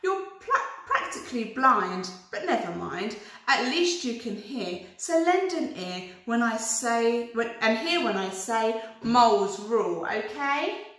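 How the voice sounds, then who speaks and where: A woman's voice: on the high side, very animated, slow, fairly bright tone, recorded from a distance, exaggerated voice. Woman as an eager rhyming rabbit, very echoey. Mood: admiring. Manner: reciting rhymes with flourish. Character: rhyming rabbit, eager male storybook poet. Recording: very echoey room.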